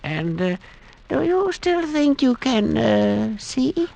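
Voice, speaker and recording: Gravelly, halting old village elder. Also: male voice, extremely high pitch, very animated, darkish tone, exaggerated voice. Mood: patronizing, deliberate. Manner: halting, deliberate, patronizing. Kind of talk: 1940s-50s radio drama acting.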